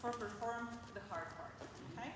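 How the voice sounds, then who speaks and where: A woman's voice: fairly high pitch, normal speed. A woman from Ukraine with a Ukrainian accent, leading a yoga class in a playful, coaxing way and counting out the breaths. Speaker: yoga instructor. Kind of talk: guided yoga class instruction. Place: large gym hall. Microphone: room mic far from speaker. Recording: echoey hall from far off, background noise.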